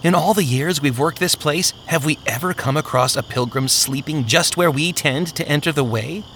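A man voicing a timid, nervous male robber who frets and questions in a raised, hushed voice. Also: a little high, normal speed, a little hiss or hum. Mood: suspicious. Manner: fretful, questioning.

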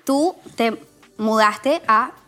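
A young woman from Venezuela hosts a relaxed podcast chat, her voice sitting a little high. She reacts warmly and enthusiastically and moves freely between Spanish and English. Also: very slow, soft background music. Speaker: podcast host. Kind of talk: casual bilingual interview chat.

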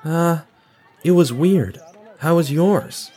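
A man's voice: fairly high pitch, takes it slowly, mellow, slightly rough. A man playing an awkward, apologetic, burnt-out office worker in an audio drama, speaking hesitantly and earnestly. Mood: awkward, glum.

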